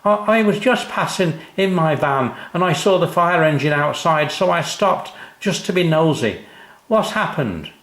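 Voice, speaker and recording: A man's voice: fairly high pitch, animated, unhurried, fairly bright tone, echoey room, voice pushed up. A man voicing a kind, cheery odd-job man with folksy, repetitive phrasing in a children's story. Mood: curious, friendly. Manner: folksy, repeats his phrases.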